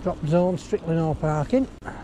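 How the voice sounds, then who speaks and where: Higher-voiced man chatting over clear outdoor noise. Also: expressive, normal speed. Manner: rambling, thinking aloud, reading signs out. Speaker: vlogger on an electric bike ride. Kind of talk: casual running commentary while cycling. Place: outdoors on roads, riding a bicycle. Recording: outdoor action-camera audio with clear wind and traffic noise.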